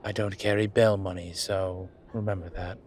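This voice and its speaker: A man playing a wry, laid-back incubus demon professor in a roleplay audio drama, talking with breathy pauses and trailing sentences. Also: low-pitched, level tone, darkish tone, slight background noise, close to an everyday voice. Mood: dry, authoritative. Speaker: voice actor. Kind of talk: roleplay audio drama, one side of a conversation.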